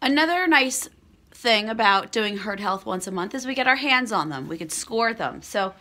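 A woman's voice: a little high, animated, moderate pace, pushed and bright. A middle-aged woman, an alpaca farmer, chatting and explaining as she films herself on a phone in a cold wooden barn. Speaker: alpaca farmer and vlog host. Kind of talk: casual explanatory vlog. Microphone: phone held at arm's length.

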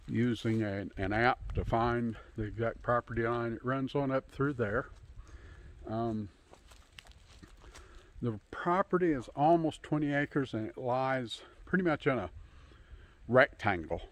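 A man in his fifties giving a casual, unscripted tour outdoors on a handheld phone, pausing often as he talks. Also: mid-range voice. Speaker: homesteader hosting a channel. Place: outdoors in bare winter woods. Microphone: handheld phone at arm's length.

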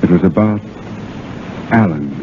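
An actor playing a grave, solemn man breaking painful news in a 1930s radio drama, slow and halting. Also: low voice. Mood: grave, resolute. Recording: noisy, narrow-band 1930s radio recording.

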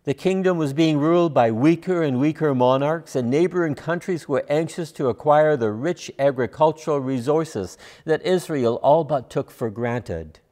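An older man delivering a measured, scripted sermon as a preacher, deliberate in his phrasing. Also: fairly high pitch, mellow. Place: large church sanctuary, at a lectern. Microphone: lapel mic. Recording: long church reverb.

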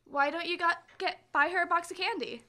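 A woman's voice: extremely high pitch, steady tone, fairly quick, pushed and bright. A young woman playing a dreamy, gushing, romantic girl in an old-time radio comedy. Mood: sweet, sympathetic. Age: teens to young adult. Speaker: student actor. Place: school library room, staged performance. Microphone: stand microphone on stage.

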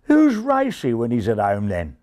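Man putting on a brash, excitable Australian pigeon. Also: medium pitch, unhurried, no echo. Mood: curious, cheeky. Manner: blunt, slangy, exclamatory.

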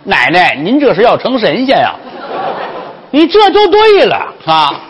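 Man putting on a shrill, gushing old madam. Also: very animated, a little fast, big-room sound, muffled recording, some chatter behind, slight background noise. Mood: overjoyed. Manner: effusive, repeats phrases eagerly. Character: gushing, fawning, scheming old brothel madam.

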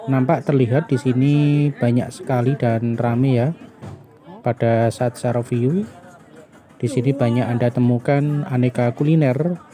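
A middle-aged man narrates a walking review as a vlogger, in halting phrases dotted with little tag words. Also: mid-range voice, steady tone, music in the background. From Indonesia. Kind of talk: walking vlog narration, food bazaar review. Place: outdoor night food bazaar in a car park.

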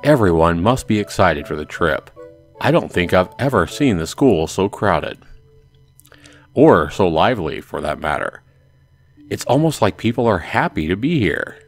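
A man's voice: sits low, very animated, normal speed. A man hosting a let's play, casually commenting and reading a visual novel aloud. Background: music.

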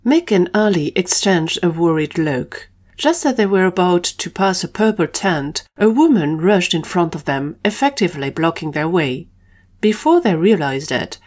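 A woman's voice: lowish voice, lively intonation, measured pace, darkish tone. A woman narrating a scripted audio drama story.

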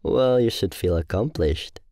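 A man's voice: lowish voice, expressive, unhurried, mellow, no room sound, studio quality. A man playing a mean, mocking demon university bully in an audio roleplay, with a taunting delivery. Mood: sneering contempt.

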